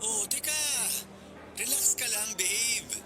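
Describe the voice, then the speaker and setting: A jealous college ex with a high-pitched, insistent voice, pressing and menacing over a music bed. It is radio drama, played with possessive intensity. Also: male voice, slow, pushed and bright, exaggerated voice. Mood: taunting. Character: possessive, threatening young male college ex-boyfriend. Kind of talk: Tagalog radio drama acting.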